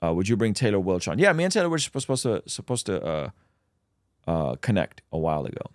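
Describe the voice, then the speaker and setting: A man's voice: deep voice, very animated, fairly quick, dry recording, studio quality. A man, a native Russian speaker, hosting a casual livestream where he reads out chat questions and answers them with plenty of ums.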